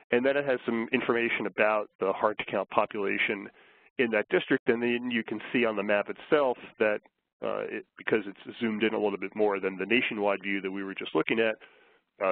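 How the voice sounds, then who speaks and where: A man getting over a flu, slightly hoarse, giving a measured, explanatory webinar presentation with frequent fillers. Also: on the low side, dry recording. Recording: tinny phone line.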